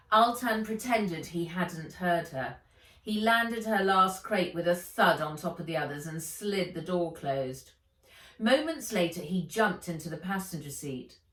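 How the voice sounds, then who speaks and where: A woman narrates a children's adventure book at a measured, slow pace. Her fairly low voice swings expressively through the sentences, theatrical and clear in intent. She sounds roomy and set back from the microphone. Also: fairly bright tone. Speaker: author reading own children's book. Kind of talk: dramatised audiobook narration. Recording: roomy, echoey, distant microphone.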